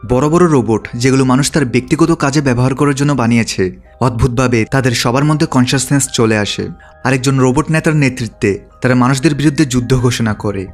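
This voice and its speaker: Man, film recap narrator, scripted storytelling, rapid pace, average pitch, faint music behind.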